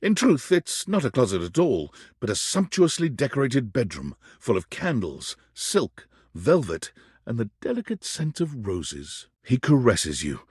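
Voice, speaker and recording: A man playing the narrator of a video game, telling the story in a scripted, dramatic, deliberate storybook manner. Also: medium pitch, wildly swooping pitch, a little mellow, slightly rough, no room sound, clean studio sound, exaggerated voice. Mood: dramatic storytelling.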